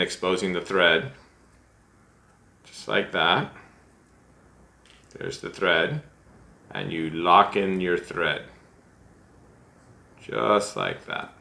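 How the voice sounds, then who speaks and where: Deep-voiced man demonstrating fly tying. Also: bright, forceful tone. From United States. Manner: chatty, self-deprecating, thinks aloud. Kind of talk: relaxed step-by-step tutorial. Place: small room at home, seated at a desk.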